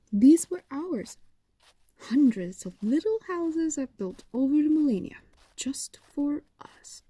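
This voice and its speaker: A woman's voice: a little high, dark tone, breathy edge, no echo, heavily acted. A woman voicing an ancient, possessive and doting fox demon in an intimate roleplay audio drama, coaxing and condescending, often slipping into whispers. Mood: wistful, fond.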